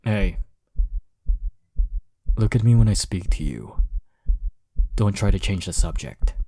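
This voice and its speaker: Deep-voiced, cocky flirtatious college rival acted by a man. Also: lively intonation, slow, vocal fry, slight background noise, full character voice. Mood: playful, teasing. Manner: smug, flirtatious, drawling teasing with soft chuckles. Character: cocky, teasing male college rival smitten with listener. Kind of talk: intimate romantic audio roleplay.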